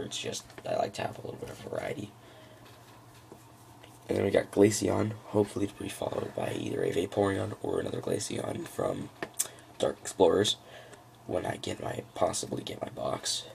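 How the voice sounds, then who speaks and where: A man with a froggy, gravelly voice giving a casual, unscripted walkthrough of trading cards, halting as he thinks aloud. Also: low voice, slight background noise. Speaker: trading card hobbyist presenting a deck.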